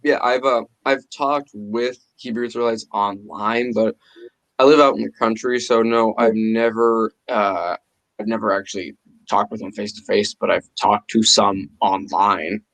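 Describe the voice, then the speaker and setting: A man in a casual group call, speaking haltingly and repeating his starts. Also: on the low side, steady tone, a bit bright, no echo, low-quality recording.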